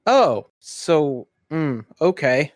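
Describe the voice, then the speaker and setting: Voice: mid-range voice, sing-song, drawn-out words, no room sound, clean studio sound. A tabletop game player chatting casually and thinking aloud.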